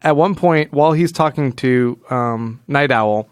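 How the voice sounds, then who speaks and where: A man's voice: mid-range voice, measured pace, clear, resonant tone, dry recording, studio quality. A man hosting a casual comic book podcast in a wry, jokey way, often acting out imagined dialogue. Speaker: podcast host.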